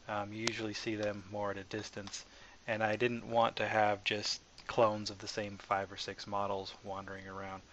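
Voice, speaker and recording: A man narrating a casual software tutorial as the developer, informal and hesitant, as if thinking aloud. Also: on the low side. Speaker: software developer presenting own asset package. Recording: dull lo-fi mic with faint background noise.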